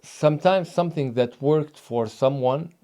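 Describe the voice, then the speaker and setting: A man's voice: a little high, unhurried, mellow. A man originally from Tunisia, a fitness trainer talking casually in an interview, emphatic and hesitant with many fillers.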